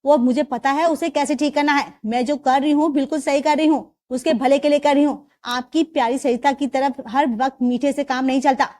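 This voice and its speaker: A harsh, quick-tempered young mother, as a woman narrator plays her, loud and scolding. She snaps back at everyone with a biting tongue. Her voice sits high and cutting. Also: fairly even intonation, lightly acted. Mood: defiant.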